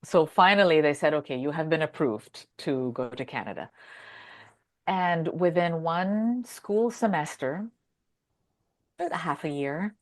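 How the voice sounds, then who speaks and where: A woman from Brazil in a casual podcast conversation, telling stories in an animated way and acting out quotes. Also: deep voice, a little slow. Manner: animated storyteller who acts out quotes.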